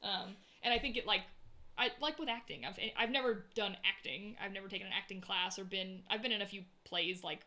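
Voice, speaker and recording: A woman with a Southern accent, co-hosting a casual tabletop-gaming podcast and talking in a chatty, animated way. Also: medium pitch, brisk. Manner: chatty, many "like" fillers, animated storytelling. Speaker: podcast co-host. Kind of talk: casual chat.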